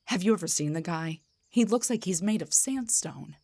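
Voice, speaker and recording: A woman voicing an indignant, defensive half-drow bard in a scripted fantasy roleplay audio, with a breathy, theatrical delivery. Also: lowish voice, lively intonation, moderate pace, mellow. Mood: scornful, incredulous. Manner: theatrical, sarcastic, emphatic, stammers when rattled. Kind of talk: scripted roleplay monologue, one side of a conversation.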